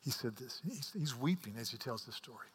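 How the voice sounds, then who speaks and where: An earnest male preacher delivering a sermon, often stumbling into repeated words. His voice climbs to forceful emphasis, then falls to near-whispered asides. It rings out with the long reverb of a large sanctuary. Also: mid-range voice, animated, fairly quick, breathy edge. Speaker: pastor.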